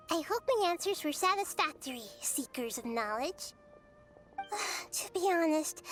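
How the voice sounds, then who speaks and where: An actress voicing a gentle, wise, childlike young goddess in a video game, speaking softly and thoughtfully. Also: female voice, sits high. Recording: music behind the voice.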